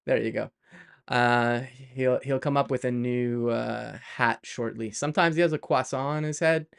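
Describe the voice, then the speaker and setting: Canadian dude streaming, smooth clear voice, rambly, laughs a lot, lots of "like" and "uh". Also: male voice, mid-range voice, fairly even intonation, moderate pace. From Canada (Montreal). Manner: chatty, laughs often, many fillers and restarts. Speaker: live streamer. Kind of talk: casual live-stream chat.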